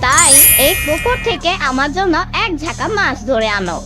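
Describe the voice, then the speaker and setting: A performer voicing a cunning, plucky cartoon child with a pleading, expressive delivery. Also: high-pitched, normal speed, loud music behind the voice. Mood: wheedling. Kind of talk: cartoon story voice acting.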